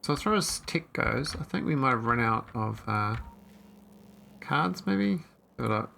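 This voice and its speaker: A man's voice: medium pitch, lively intonation, unhurried, vocal fry, bright, forceful tone, slight background noise. A man casually commentating his own gameplay, thinking aloud and rambling with fillers into a desk microphone. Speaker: gameplay streamer. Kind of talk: casual let's-play commentary.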